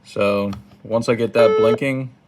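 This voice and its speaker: A man giving a casual step-by-step how-to, halting and thinking aloud, recorded indoors at a tabletop. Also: mid-range voice, steady tone. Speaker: product tutorial presenter. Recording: clearly noisy home recording, button clicks.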